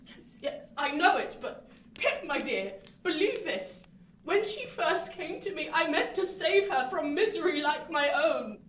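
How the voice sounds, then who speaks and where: An actress playing a frail, anguished older reclusive lady in a radio play, speaking in a halting, plaintive way. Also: sits high, big pitch swings, a bit bright, heavily acted. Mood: anguished pleading. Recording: noisy radio play recording.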